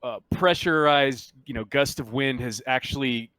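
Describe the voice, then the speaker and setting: A man running a tabletop game, narrating off the cuff in a halting, conversational, explanatory way. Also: mid-range voice, sharp tone, no echo. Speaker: game master.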